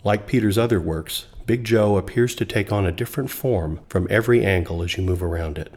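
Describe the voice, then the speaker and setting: Male narrator, very deep voice, steady scripted read. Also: a little fast. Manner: even, informative reading.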